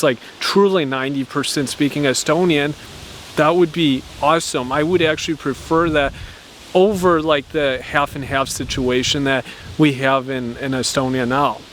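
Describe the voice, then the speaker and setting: A man's voice: fairly high pitch, fairly bright tone, a little hiss or hum. A man giving his opinion in an outdoor vlog, talking earnestly and reasonably, often restarting and repeating words as he thinks. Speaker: vlogger. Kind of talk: opinion monologue to camera.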